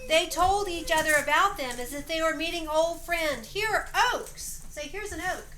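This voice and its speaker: A woman's voice: very high voice, normal speed, sharp tone. A woman reading a story aloud as narrator of a homemade family play, prompting others with off-the-cuff directions. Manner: coaching, prompting others to repeat lines. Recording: roomy, noisy amateur home recording.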